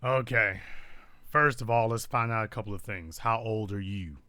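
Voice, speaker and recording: Blunt male talk host, bright forceful voice. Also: fairly low pitch, normal speed, no echo. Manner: blunt, lecturing. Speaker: call-in show host. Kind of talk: live call-in talk show.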